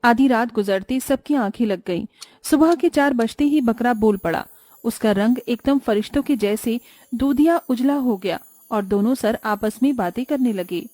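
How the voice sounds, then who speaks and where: A woman narrating a scripted cartoon story in a calm storytelling manner. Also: a little high, moderate pace, no room sound, faint music behind.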